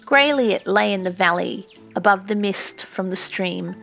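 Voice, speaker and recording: A woman's voice: medium pitch, normal speed, creaky, fairly bright tone, faint music behind. A woman narrating an audiobook in a scripted, literary storytelling style.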